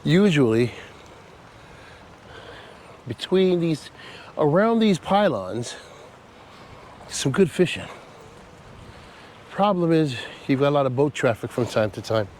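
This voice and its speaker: A man's voice: on the high side, expressive, takes it slowly, pauses often, a little mellow, slight background noise. A man with a nasal voice from a cold, chatting casually and laughing at himself while walking through an outdoor park for his travel vlog. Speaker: travel vlogger. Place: outdoor park by a causeway bridge.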